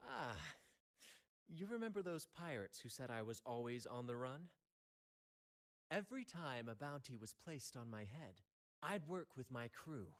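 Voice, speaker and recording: Earnest adventurer ship captain from a video game, theatrical and expressive, mid-pitched voice. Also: male voice, measured pace. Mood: intrigued, animated. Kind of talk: theatrical story-game dialogue.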